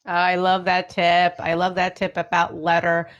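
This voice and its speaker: A woman's voice: lowish voice, completely flat delivery, unhurried, highs cut off. A woman hosting an educational talk for families, speaking in an encouraging, affirming way straight to her listeners. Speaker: radio show host.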